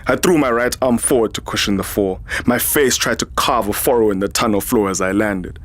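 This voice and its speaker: An actor playing a weary, hot-tempered military security chief in a science fiction audio drama, speaking in a terse, dramatic way. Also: male voice, on the low side, fairly quick, a bit bright, natural voice. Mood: tense narration. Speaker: lead actor and first-person narrator. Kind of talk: audio drama acting and narration.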